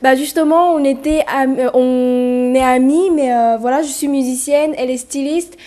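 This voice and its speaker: A woman's voice: high voice, steady tone, normal speed, warm tone. A young woman, a musician and brand founder, answering interview questions hesitantly and earnestly. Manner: hesitant, many fillers, earnest. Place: TV studio.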